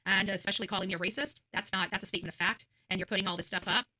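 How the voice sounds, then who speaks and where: Female livestreamer, blunt and combative, sharp forceful voice, racing pace, hammers phrases by repetition. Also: mid-range voice, fairly even intonation, racing. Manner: combative, confrontational, blunt and profane; emphatic, repeats phrases. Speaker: livestream host. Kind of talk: livestream rant to viewers.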